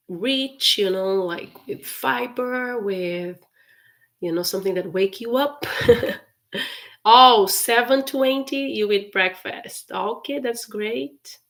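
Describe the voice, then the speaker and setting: A woman teaches everyday English on a live stream. She speaks very slowly, repeats each phrase with care, and often laughs lightly between lines. Her voice sits at a medium pitch. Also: a bit bright. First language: Portuguese. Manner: slow, careful repetition of phrases with frequent laughs.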